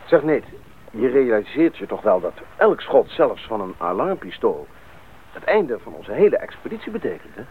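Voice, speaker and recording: Actor as lively, steady jungle expedition man. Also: mid-range voice, moderate pace, phone-quality sound, natural voice. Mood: concerned. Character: steady, reassuring male expedition member in jungle. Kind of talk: radio drama acting. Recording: thin old radio, faint hiss.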